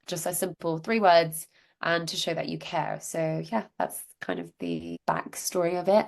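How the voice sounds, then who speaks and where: A woman's voice: low-pitched, gravelly. A young woman from Hong Kong, a founder being interviewed, telling her story in a chatty way with many filler words. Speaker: guest, social enterprise founder.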